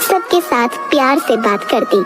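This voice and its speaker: A woman with a high voice narrates a scripted moral tale as a storyteller. Loud music plays behind her throughout. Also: animated, unhurried.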